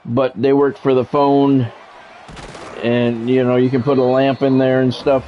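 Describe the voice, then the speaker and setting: A man's voice: mid-range voice, flat delivery, measured pace, lo-fi sound, slight background noise. A middle-aged man doing live football game commentary mixed with casual chat, talking in a blunt, profane, conversational way. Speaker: game streamer and play-by-play commentator.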